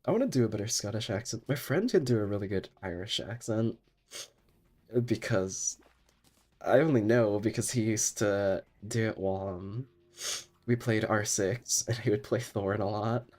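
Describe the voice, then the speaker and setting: A man's voice: fairly low pitch, moderate pace, a little mellow. A young man streaming a game while sick, chatting in a rambling way and laughing often. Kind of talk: casual gaming stream chat.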